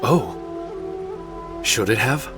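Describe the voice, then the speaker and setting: A man playing a gentle, earnest, wistful spirit in a video game, speaking in a measured, polite way. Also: medium pitch, very animated, over music. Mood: grateful, humble.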